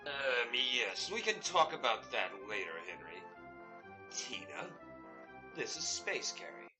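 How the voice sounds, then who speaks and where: A man's voice: medium pitch, very animated, slow pace, over music. A man playing a self-pitying, sheepish space captain in a comic sci-fi radio play, with wry, hammy delivery. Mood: sheepish, resigned.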